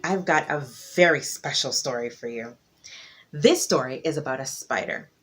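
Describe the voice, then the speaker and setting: A woman telling a folktale to children in an expressive style, stretching key words for dramatic emphasis. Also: extremely low pitch, moderate pace, faint music behind. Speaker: children's storyteller.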